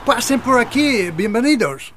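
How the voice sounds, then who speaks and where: An actor plays a welcoming man who tinkers with inventions in a scripted family drama. His pitch moves around in a lively way, and clear ambient noise sits behind him. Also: measured pace. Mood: friendly, inviting. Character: friendly hospitable man, amateur biofuel inventor. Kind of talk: scripted educational drama dialogue.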